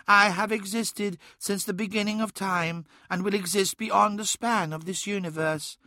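Ancient demon god, high and taunting. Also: male voice, bright, forceful tone, no room sound, studio quality. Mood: imperious. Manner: grandiose, taunting, commanding. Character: ancient boastful demon god speaking from a shattered mirror.

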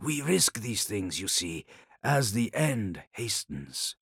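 A man playing a grave, proud warlord khan in an audiobook, contemplative, with measured and formal phrasing. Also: on the low side, sing-song, creaky, a bit bright, breathy edge, dry recording, studio quality, exaggerated voice.

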